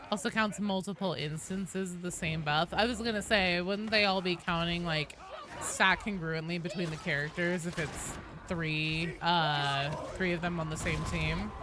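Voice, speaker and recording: A woman's voice: on the low side, steady tone, normal speed, background noise. A woman livestreaming games, chatting casually and reading out viewer comments in a joking, teasing, sweary way. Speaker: game streamer.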